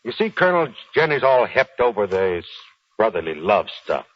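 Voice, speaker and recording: An actor playing a gruff, proud, aging American Marine sergeant with folksy, ungrammatical slang in a 1940s radio drama. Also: male voice, fairly low pitch, big pitch swings, moderate pace. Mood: deferential, chatty. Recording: dull 1940s radio recording.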